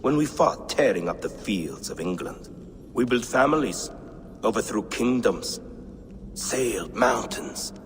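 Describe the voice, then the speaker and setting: A man's voice: medium pitch, animated, unhurried, in a large hall, a little hiss or hum, natural voice. An actor playing a boastful, grandiose Viking warrior seeking his destiny in a video game, with a declamatory way of talking. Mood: proud boasting. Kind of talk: video game dialogue acting.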